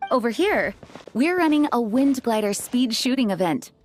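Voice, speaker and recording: An actress playing an earnest, modest young knight commander in an anime game, speaking formally and politely. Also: female voice, a little high, expressive, normal speed, darkish tone. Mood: composed, helpful. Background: background music.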